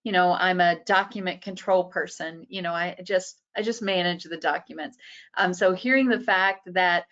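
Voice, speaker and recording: A woman's voice: fairly low pitch, normal speed, fairly bright tone, muffled recording. A woman moderating a webinar panel who asks long questions and fills them with "you know" and "uh". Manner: many fillers, you know and uh.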